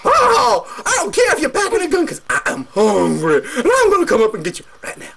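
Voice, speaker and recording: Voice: sits high, huge pitch swings, a little fast, creaky, crowd noise, pitched above the performer's own voice, exaggerated voice. Someone doing a cackling, shrieking hungry troll. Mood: ravenous. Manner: cackling, shrieking, laughs a lot. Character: greedy hungry troll under a bridge.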